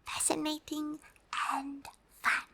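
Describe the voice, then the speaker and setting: A woman voicing a cute, friendly, curious pink alien in a soft, breathy, gently hesitant ASMR whisper. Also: high voice, level tone, slow pace, a little hiss or hum, exaggerated voice. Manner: gentle, hesitant, third-person speech with careful scientific wording. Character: cute, curious, friendly pink female alien explaining human summer tips. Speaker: host.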